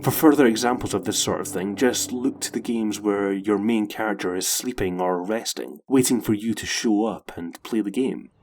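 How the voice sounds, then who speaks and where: A man's voice: on the low side, moderate pace, hardly any pauses, darkish tone, a little hiss or hum. Man narrating a scripted video essay.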